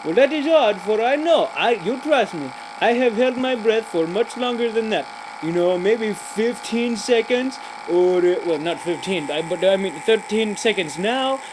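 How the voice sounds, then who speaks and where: A man's voice: high-pitched, lively intonation, a little slow, background noise. A man voicing a cheerful, rambling joke-teller, broken grammar and giggly asides. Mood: indignant. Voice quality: broken grammar, many fillers and restarts, giggly. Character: rambling, cheerful adult man telling pointless jokes. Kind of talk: comic character monologue.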